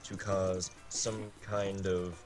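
A man reading absurd comic fiction in a deadpan way, drawing out his phrases with long pauses. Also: sits low. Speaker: guest reader on a game stream. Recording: game music behind the voice. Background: loud video game music.

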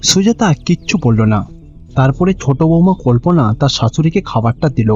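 A man's voice: mid-range voice, expressive, fairly quick, a little mellow. A man with a Bengali accent reading scripted narration for a cartoon story. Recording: dull, lo-fi audio with music behind.

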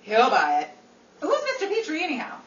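Radio comedy: vain, sassy fifties starlet played by a young woman, very animated delivery, heard off-mic in a room. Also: sits high, fairly quick, dull audio. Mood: sarcastic. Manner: theatrical, sassy, arch. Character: Betty, vain, wisecracking female 1950s Hollywood star. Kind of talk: 1950s radio comedy acting. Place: small room staged as radio studio. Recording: roomy, distant mic, noisy.

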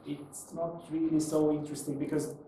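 Echoey male lecturer, fairly high voice. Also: level tone, moderate pace, warm tone. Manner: explanatory, asks himself questions, non-native English phrasing. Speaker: presenter. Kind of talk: live technical lecture to an audience. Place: small indoor meetup room with seated audience. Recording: echoey room, distant mic, faint noise.

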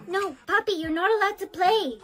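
A woman voicing an anxious young schoolchild in a short comedic skit, quick to deny things and scold. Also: very high voice, heavy background noise. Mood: defensive.

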